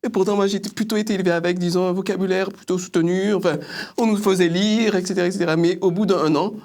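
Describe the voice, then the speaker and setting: High-pitched, quick-talking man from France. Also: fairly even intonation, a little mellow. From France, of Antillean family. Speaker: panelist on a religious discussion show. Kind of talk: casual reflective discussion with personal anecdotes.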